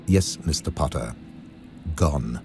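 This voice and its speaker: An actor voicing a stern, cold wizard schoolmaster in a video game, with clipped, disdainful delivery. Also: male voice, a bass voice, expressive, slow, music in the background, heavily acted.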